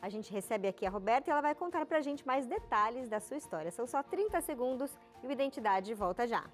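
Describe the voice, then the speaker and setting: Measured woman TV host, slightly high voice. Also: animated, faint music behind. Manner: clear, measured presenter delivery. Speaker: TV show host. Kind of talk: scripted introduction and interview questions.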